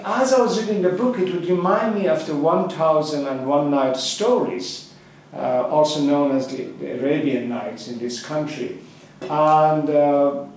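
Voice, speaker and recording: A man's voice: a little high, darkish tone, a little hiss or hum. Man speaking from far in an echoey hall. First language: Farsi. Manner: many pauses, restarts and fillers. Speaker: guest speaker at a book club gathering. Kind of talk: public talk.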